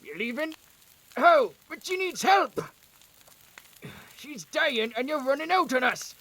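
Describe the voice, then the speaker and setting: A man's voice: extremely high pitch, very animated, slow, bright, forceful tone, heavily acted. A man playing a distraught husband in an audio drama, pleading and accusing with raw emotion. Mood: desperate pleading. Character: distraught husband pleading for his dying wife.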